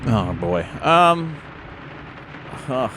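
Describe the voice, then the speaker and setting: Male tabletop player, bright forceful voice, casual and sweary, wry hesitant improvising, faint background noise. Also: medium pitch, big pitch swings, extremely slow. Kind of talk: casual improvised game chat.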